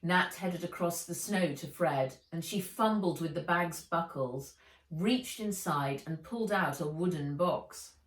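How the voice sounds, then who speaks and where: A woman's voice: lowish voice, measured pace. A woman author reading a children's novel aloud in an audiobook narration style. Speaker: author reading their own book. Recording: roomy echo, slightly distant mic.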